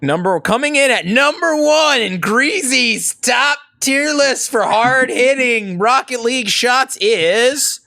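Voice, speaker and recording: A man hosting a stream, bantering with quick, teasing jokes. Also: very high voice, pushed and bright. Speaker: host. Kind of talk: casual chat on a stream.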